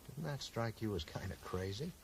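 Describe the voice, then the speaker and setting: Lively-toned, earnest amateur investigator. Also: male voice, fairly low pitch, moderate pace. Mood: probing. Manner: tactful but probing. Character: earnest, polite, persistent man investigating a friend's mystery. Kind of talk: 1980s radio drama acting. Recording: noisy 1980s radio broadcast recording.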